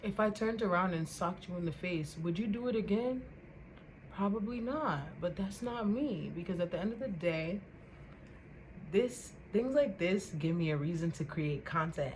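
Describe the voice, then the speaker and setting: A young woman vlogger with a loud voice, ranting casually to the camera in an animated, indignant way and repeating words for emphasis. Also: fairly low pitch, moderate pace, a little hiss or hum, rough recording.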